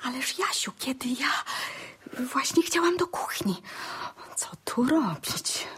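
A woman's voice: high voice, animated, slow, in a whisper. An actress playing a fussy, deferential provincial wife and doting mother in a radio drama, flustered and full of exclamations. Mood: flustered, polite.

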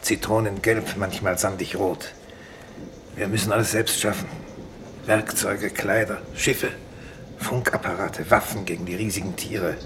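A stoic exile doctor played by a man talks in a low, sober voice, resigned to a hard life. The style is 1950s German radio drama, on a clearly noisy old recording. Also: steady tone, measured pace, lightly acted. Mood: resigned, grave. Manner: laconic, sober, matter-of-fact.

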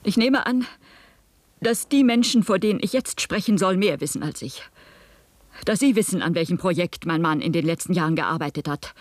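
A woman's voice: on the low side, normal speed, no room sound, natural voice. An actress playing an older woman, a professor's wife, giving careful, hesitant and precise testimony in a 1970s radio play. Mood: troubled, guarded. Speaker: witness at a hearing.

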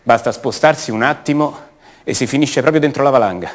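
An actor playing an ordinary, self-doubting man back home, speaking a halting, conversational monologue in a radio drama. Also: mid-range voice, close to an everyday voice. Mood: questioning, uneasy. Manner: halting, conversational, rhetorical questions to the listener.

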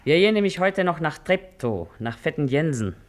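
An actress playing a shrewd, brisk working-class washerwoman with an earthy Berlin dialect in a German radio play. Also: low-pitched, big pitch swings. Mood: confiding, friendly. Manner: folksy, earthy dialect talk.

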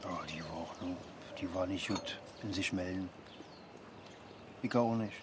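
An actor playing an ailing, drowsy older man who mutters haltingly in a naturalistic radio drama. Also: low-pitched. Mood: resigned. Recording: noisy outdoor ambience.

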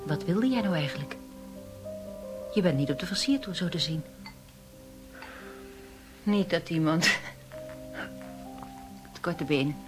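A woman's voice: low-pitched, expressive, slow, pauses often, over music. An actress in a radio play, playing a bitter, lonely woman who resents caring for her brother, with a brittle, intense manner. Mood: uneasy, confiding. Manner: brittle and intense, mimics others mockingly.

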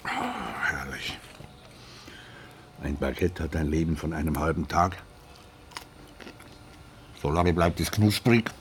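A man's voice: a bass voice, takes it slowly, pauses often, slight background noise. A man playing a retired police inspector, older, laconic and dryly probing, in a German radio drama. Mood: relaxed, musing. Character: retired Swiss police inspector, dry, unflappable grandfather.

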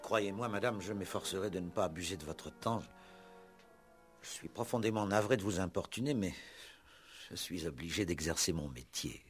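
An actor playing a gruff, impatient police commissioner who questions tersely with measured pauses, in a sixties radio play. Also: male voice, low-pitched, music in the background, lightly acted. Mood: formal, tactful. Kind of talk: 1960s French-language radio play acting.

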